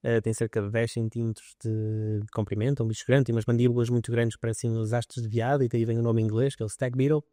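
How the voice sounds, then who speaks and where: Podcast guest: young man, quick and eager, smooth clear voice, dry close sound. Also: medium pitch, little pitch movement, dark tone, dry recording. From northern Portugal. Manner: eager, detailed storytelling. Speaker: guest biologist. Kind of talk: podcast interview.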